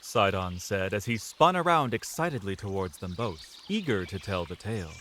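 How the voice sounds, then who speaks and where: Role: narrator. Sex: man. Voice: low with lively swings. Style: scripted audio drama narration. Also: moderate pace, a little hiss or hum. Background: music.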